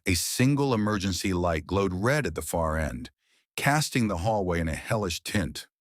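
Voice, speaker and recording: A man reading a psychological thriller audiobook with tense, dramatic pauses. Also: low-pitched, very animated, no room sound, studio quality. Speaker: audiobook narrator. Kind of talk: scripted thriller narration.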